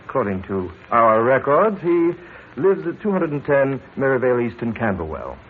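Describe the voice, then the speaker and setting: A man's voice: mid-range voice, sing-song, normal speed, very noisy, natural voice. An actor playing a businesslike rental agent who obligingly reads details from his records, in a tinny old radio drama recording.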